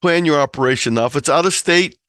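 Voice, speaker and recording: A man's voice: fairly high pitch, quick pace, a bit bright, smooth, no echo, studio quality. An American man giving an informal, explanatory online presentation, talking listeners through practical instructions as a presenter. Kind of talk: informal slideshow lecture on a hobby. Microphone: close computer or headset mic on a video call.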